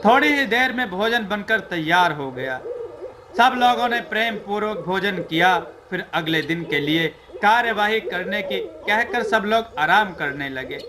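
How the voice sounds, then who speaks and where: A man narrating a scripted folk tale in a measured, declamatory storyteller's way. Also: high-pitched, lively intonation, noisy background.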